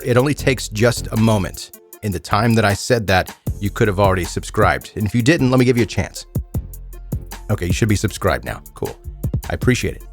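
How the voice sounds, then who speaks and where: A man hosting a true crime podcast, reading scripted narration with casual asides. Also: on the low side.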